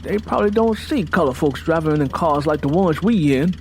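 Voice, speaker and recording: A man's voice: fairly high pitch, animated, a little fast, gravelly, slight background noise, voice pushed up. A man voicing a boastful, domineering Southern man in an audiobook, scolding and self-important with a Southern US accent.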